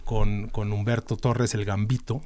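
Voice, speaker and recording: A man's voice: lowish voice, level tone, unhurried, warm tone. A middle-aged man with a Mexican accent, a band manager talking through his work as a podcast guest, with lots of fillers and restarts as he explains. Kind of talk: casual interview talk. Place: small dim podcast studio. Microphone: studio mic on a boom arm.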